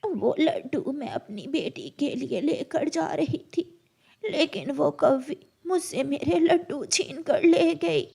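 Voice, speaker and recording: A woman voicing a frail, anxious elderly crow grandmother in a cartoon story, speaking haltingly and repeating her words. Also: sits high, lively intonation, creaky, warm tone, heavily acted. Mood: plaintive. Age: older.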